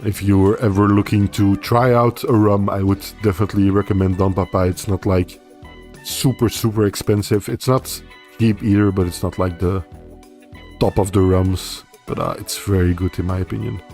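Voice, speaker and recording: A man's voice: fairly low pitch, normal speed, soft background music. A young man whose first language is Dutch, hosting a casual livestream and answering viewer comments. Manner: relaxed, rambling, reads viewer comments aloud and answers. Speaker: livestream host.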